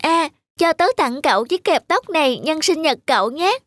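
A woman voicing a sweet, polite little girl in an animated cartoon. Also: high voice, normal speed, dry recording, clean studio sound. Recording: clear children's music behind.

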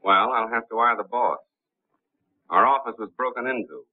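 An actor playing a cool, suave gentleman sleuth in a 1930s film, speaking smoothly and politely on a tinny soundtrack. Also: medium pitch, normal speed, lightly acted. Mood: cool, courteous.

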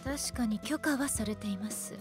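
A woman's voice: fairly high pitch, moderate pace, music in the background, lightly acted. A woman playing a strict, composed magic-academy teacher with coolly menacing politeness and precise scolding, in an anime-style radio drama. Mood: strict, instructive.

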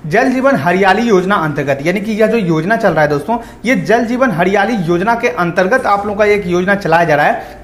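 Man, tutorial presenter, rapid delivery, repetitive explanatory manner, echoey room recording. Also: sits high. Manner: repetitive, explanatory, reads out then paraphrases. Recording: roomy, echoing voice-over.

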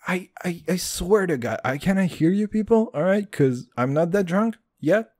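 Crude, excitable man ranting. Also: sits high, normal speed. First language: Hebrew. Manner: crude, loud swings, calls listeners dude. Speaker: podcast host. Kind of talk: comedic solo podcast rant.

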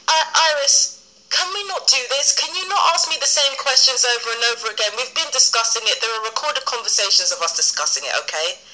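A woman's voice: on the high side, expressive, fast, pushed and bright. A British woman in a casual phone conversation, insistent and firm, replayed through a small recorder speaker. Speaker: support client on a phone call. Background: phone call replayed from a handheld voice recorder.

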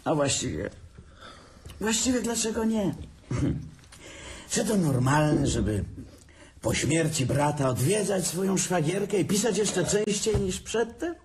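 An actor playing a sarcastic, bitter, tipsy divorced man who needles his brother haltingly in a radio drama. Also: high-pitched, expressive, darkish tone, a little hiss or hum. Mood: sly, provoking. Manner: sarcastic, taunting, chuckling, halting. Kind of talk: dramatic radio play acting.

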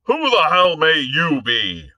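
A man's voice: medium pitch, takes it slowly, no echo, voice pushed up, poor audio. A man reading aloud, putting on a heavy, hectoring voice for a blustering, bullying dragoon captain. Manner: loud, sneering.

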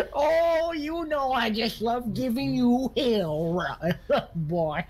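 A man's voice: very high voice, lively intonation, very slow, exaggerated voice. A man playing a bumbling, sneering country sheriff who stammers and repeats his words in a comedy game roleplay. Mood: sneering, smug.

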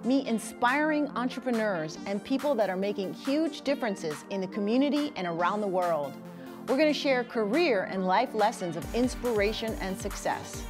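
Woman announcer reading a scripted show intro in a polished, promotional tone at a medium pitch. Music plays clearly beneath her voice. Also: lively intonation, moderate pace.

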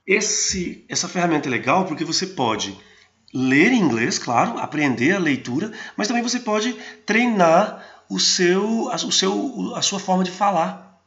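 A man hosting a screen-recorded tutorial on software and apps, talking with many restarts and fillers. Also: a little high, highs cut off. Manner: hesitant, frequent restarts and fillers. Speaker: host of a geotechnology channel.

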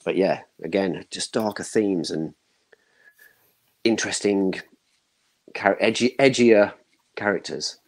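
A man's voice: low-pitched, slow, pauses often. A man in his thirties chatting casually as a panel guest, giving opinions in short broken bursts. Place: home room, indoors, video call.

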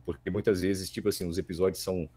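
A Brazilian man in his thirties with a fairly low voice talks as a podcast guest. He explains things casually and haltingly, often checking in with small tag questions. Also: flat delivery, darkish tone, husky. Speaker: guest, voice actor.

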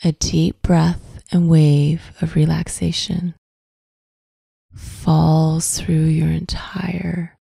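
Slow, breathy woman guiding a visualization. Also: sits low, level tone, mellow, no room sound, clean studio sound. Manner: soothing, slow, deliberate phrasing with long pauses. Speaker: guided meditation host. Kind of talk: guided visualization, scripted narration.

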